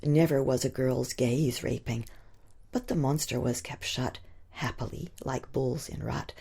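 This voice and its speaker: A woman poet reciting her own verse expressively, with a husky, breathy, gravelly voice and theatrical, deliberate emphasis on the rhymes. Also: a bass voice, warm tone. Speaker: poet reading own work.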